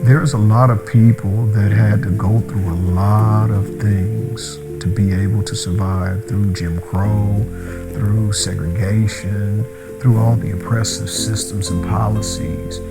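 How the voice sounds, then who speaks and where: Earnest low-voiced man over loud music. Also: level tone, measured pace. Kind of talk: reflective testimonial.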